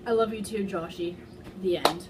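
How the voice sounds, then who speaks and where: A woman's voice: medium pitch, normal speed, heavy background noise. A woman doing an amateur dramatic read-aloud, stumbling over words and slipping into giggly casual asides. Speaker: fan fiction reader.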